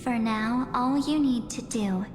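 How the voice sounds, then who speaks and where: An actress playing a mysterious, composed young woman in a video game, speaking in a measured, softly teasing, theatrical way. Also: fairly high pitch, noisy background, lightly acted. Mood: teasing, intimate. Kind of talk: scripted video game dialogue. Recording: reverberant game audio with music.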